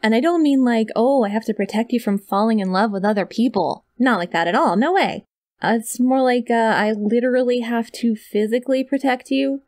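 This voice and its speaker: A woman's voice: fairly high pitch, a little fast, a little mellow, no echo, clean studio sound, lightly acted. A young woman playing a sweet, awkward, obsessive kidnapper who feigns innocence in a chatty, rambling ASMR roleplay told straight to the listener. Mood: flustered, indignant, then sweetly reassuring. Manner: chatty, rambling, flustered self-denials, hesitant filler words. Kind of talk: ASMR roleplay, one-sided comedic monologue to the listener. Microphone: close studio mic, dry.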